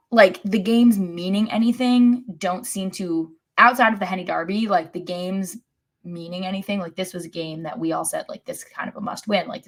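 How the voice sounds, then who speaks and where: A woman's voice: on the low side, a bit bright. A woman co-hosting a casual sports podcast, talking like a wry, resigned fan. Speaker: podcast co-host.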